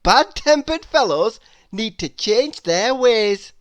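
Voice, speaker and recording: A man's voice: sits high, expressive, slow, a bit bright, pitched above the performer's own voice, full character voice. A man voicing a cheerful, polite, round storybook fellow with a jovial, well-mannered delivery.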